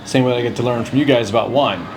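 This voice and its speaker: A man's voice: mid-range voice, fast talker. A male podcast host with a playful, joking manner, chatting casually with his co-hosts. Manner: jokey, playful banter. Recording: steady background noise.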